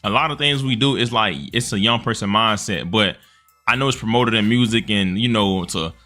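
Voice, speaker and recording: A man's voice: on the low side, normal speed, pushed and bright, faint music behind. A man giving casual opinion commentary in an earnest, emphatic, slangy way.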